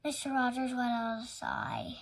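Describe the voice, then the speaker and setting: A woman with a fairly high voice narrates a children's picture book. She reads aloud as the host of a storytime. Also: wildly swooping pitch, measured pace, gravelly.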